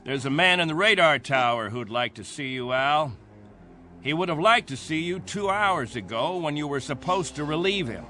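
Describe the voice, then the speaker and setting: A man acting in a radio drama as a stern, commanding captain, speaking with measured, sardonic authority. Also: fairly high pitch, animated, sharp tone. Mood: stern reproach. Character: stern, commanding male captain of a stranded settlement.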